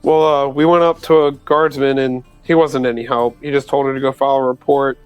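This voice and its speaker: Smooth, clear-voiced male tabletop player. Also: medium pitch, flat delivery, fairly quick, no room sound, faint music behind, poor audio. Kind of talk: casual in-character roleplay chat.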